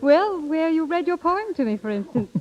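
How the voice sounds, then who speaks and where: A woman's voice: high-pitched, sing-song, normal speed. An actress playing a proud, lovelorn young office girl in a 1930s radio drama, demure and wry, hiding her hurt. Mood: wistful. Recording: muffled 1930s radio broadcast with music.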